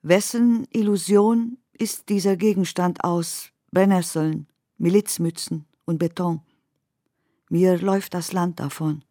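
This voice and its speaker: Slow, low-voiced woman reciting poetry. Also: fairly even intonation, warm tone, no room sound, clean studio sound. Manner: measured, deliberate phrasing. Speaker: poet reading own text. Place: dry studio.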